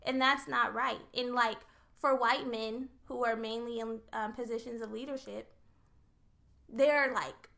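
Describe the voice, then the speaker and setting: A woman's voice: a little high, fairly even intonation, unhurried, gravelly, a bit bright. A young woman co-hosting a podcast, making her case earnestly and point by point in casual chat. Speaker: podcast co-host.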